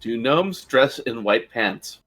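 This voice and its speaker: A man's voice: mid-range voice, animated, slow, fairly bright tone. A man, one of the players, chatting playfully and giggling over an online voice call. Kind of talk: casual out-of-game chat.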